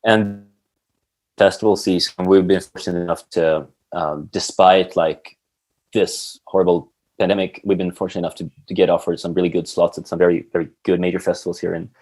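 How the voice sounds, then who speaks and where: A man speaks casually as a podcast guest. He is a musician who often pauses, feeling his way toward the right words. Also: low-pitched, steady tone, a little mellow. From Sweden. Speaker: band guitarist, podcast guest. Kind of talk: casual interview.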